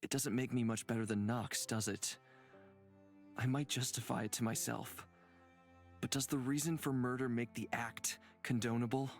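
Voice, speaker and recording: An actor playing a gentle, reflective man weighed down by guilt, speaking in a measured, earnest way for a visual novel game. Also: mid-range voice, steady tone, faint music behind, close to an everyday voice. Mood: remorseful.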